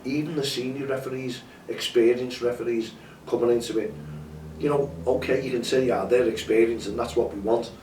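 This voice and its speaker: A man's voice: mid-range voice, steady tone, brisk, a little mellow, far from the mic, a little hiss or hum. A man hosting a campaign update, bunged up with a cold and sore throat, making an earnest, pleading appeal that often repeats itself. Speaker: campaign host. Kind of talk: impassioned appeal to camera. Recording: echoey, mic some way off, faint ambience.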